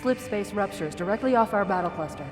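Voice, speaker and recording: A composed female AI voiced by a woman reports efficiently in a mid-pitched voice. It has a military game cutscene style, with long reverb and music clearly behind. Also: animated, fast, close to an everyday voice. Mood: alert reporting. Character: crisp, composed female artificial intelligence aide. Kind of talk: video game cutscene acting.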